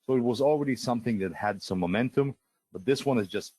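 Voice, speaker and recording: A middle-aged man whose first language is Russian presents software on a live sales webinar, walking through features in an eager pitch full of fillers and restarts. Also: quick pace, no echo. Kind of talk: live sales webinar, screen-share walkthrough.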